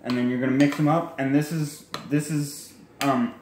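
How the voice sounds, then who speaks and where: A man's voice: medium pitch, fairly even intonation, moderate pace, darkish tone, a little hiss or hum. A young man casually showing a recipe at home, chatting and correcting himself as he goes, filmed on a phone in his kitchen. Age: young adult. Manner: rambling, self-correcting, chatty asides. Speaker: cooking vlogger. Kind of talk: casual cooking demo. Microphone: handheld phone camera. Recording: echoey kitchen with faint clatter.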